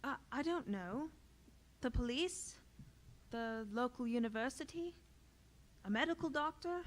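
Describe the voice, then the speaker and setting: A woman's voice: high-pitched, lively intonation, a little slow. An actress playing an uneasy, sympathetic woman in an audio theater drama. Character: uneasy, sympathetic woman who found secret journals.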